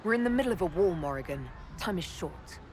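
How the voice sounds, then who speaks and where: A woman playing a brisk, blunt commander. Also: lowish voice, animated, a little mellow, a little hiss or hum, lightly acted. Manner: direct, brisk plain speech. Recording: game cutscene with clear score.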